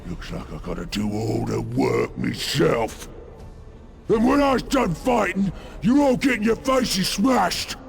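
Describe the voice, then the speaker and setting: Game casting: loot-hungry ork brute, heavily gravelled, wildly swooping delivery, bellowing over loud music. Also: male voice, high voice, huge pitch swings, slow, heavily acted. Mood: angry, menacing. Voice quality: rough, gravelly growl. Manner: crude, ungrammatical, bellowing. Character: brutish, excitable, loot-hungry ork warband thug. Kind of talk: video game cutscene acting.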